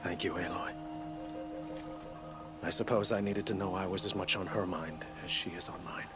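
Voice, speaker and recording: Casting: male actor as solemn monarch in mourning, deep voice, courteous and formal, video game drama with music. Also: fairly even intonation, natural voice. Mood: grave, grieving. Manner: formal, measured. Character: solemn, courteous, grieving king. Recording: music behind the dialogue.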